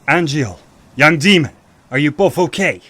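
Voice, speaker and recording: A man's voice: fairly high pitch, background noise. A man playing a grand, protective archangel in a fantasy audio drama, speaking in a formal, theatrical way. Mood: wry, concerned. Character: grand male archangel, commanding, protective elder brother.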